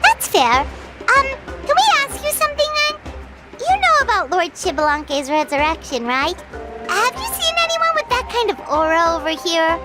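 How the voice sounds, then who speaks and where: A woman voicing a tiny, excitable floating fairy companion who talks about herself in the third person, in game voice acting over loud music. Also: very high-pitched, moderate pace, full character voice. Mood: eager.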